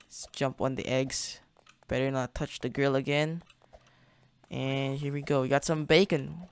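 A man's voice: mid-range voice, steady tone, measured pace, smooth. A young man hosting a gaming channel, casually narrating his play in a chatty, slangy way, thinking aloud as he goes. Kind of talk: casual gameplay commentary.

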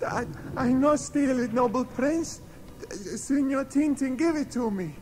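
An actor playing a timid, frightened young boy in a radio drama, pleading in halting broken English. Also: sits high, warm tone. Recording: noisy radio drama soundscape.

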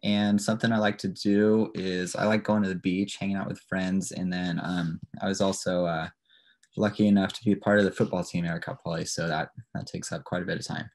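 A man's voice: deep voice, level tone, a little fast, a little mellow. A man, casually introducing himself as a student panelist. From small town in Northern California. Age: early 20s. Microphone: video call.

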